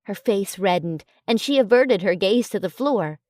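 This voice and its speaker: A woman's voice: medium pitch, expressive, normal speed, darkish tone, no room sound. Woman narrating in a dry close voice. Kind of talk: audiobook narration of a romance novel.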